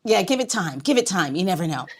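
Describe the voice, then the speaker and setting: A woman's voice: fairly low pitch, very animated, fast, husky. A woman hosting a podcast in a casual, playful chat, laughing often. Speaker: podcast host.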